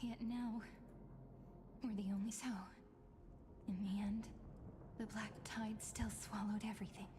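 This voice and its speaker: An actress playing a gentle, grieving fantasy game heroine, weary of a doomed journey, in a soft, breathy voice. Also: female voice, medium pitch, flat delivery, a little slow, long pauses, a little hiss or hum, lightly acted. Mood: mournful. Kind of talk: scripted fantasy game acting. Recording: game music clearly behind.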